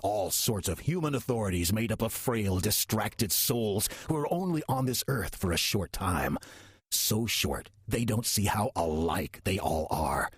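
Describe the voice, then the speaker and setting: Slothful demon in human guise, played by a man: heavily husky, animated delivery, sardonic and coaxing, for radio drama. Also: lowish voice, unhurried, no echo, clean studio sound. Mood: coolly menacing. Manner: sardonic, casual, persuasive. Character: wry, slothful male demon posing as a friendly churchgoer. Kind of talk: audio drama dialogue.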